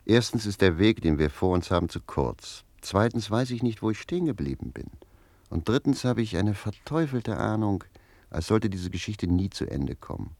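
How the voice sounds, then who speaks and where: A man's voice: fairly low pitch, lively intonation, normal speed, a little mellow, dry recording. An actor playing a talkative, fatalistic manservant in a radio play, telling his tale in an earthy, wry way. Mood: sceptical. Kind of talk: radio play acting, storytelling.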